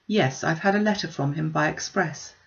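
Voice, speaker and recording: Lady doing a dry resigned gentleman dad from a storybook, wry, creaky voice, muffled recording. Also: low voice, moderate pace. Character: dry, reluctant middle-aged gentleman father, wry and resigned.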